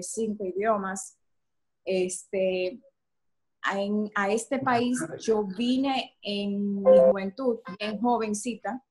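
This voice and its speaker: A woman's voice: medium pitch, darkish tone, poor audio. A young woman from Switzerland with a Dominican Spanish accent, chatting in a video call interview with many fillers and restarts. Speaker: singer guest. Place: home room indoors.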